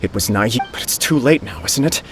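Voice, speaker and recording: A man's voice: mid-range voice, animated, fairly quick, fairly bright tone, slight background noise, lightly acted. An actor playing an earnest, honorable young nobleman officer in a video game, speaking formally and a little stiffly. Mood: earnest, troubled. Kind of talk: scripted video game dialogue acting. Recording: background music under the voice.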